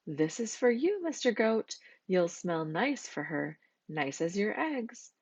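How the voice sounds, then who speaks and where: A woman's voice: medium pitch, sing-song, slow pace, husky, no room sound, muffled recording, voice pushed up, exaggerated voice. A woman voicing a sweet, hopeful lady skunk in a children's storybook, coaxing and sociable. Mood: friendly, coaxing.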